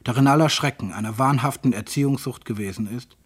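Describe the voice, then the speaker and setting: A man's voice: medium pitch, fast, husky. A man reciting an autobiographical monologue in terse, bitter fragments.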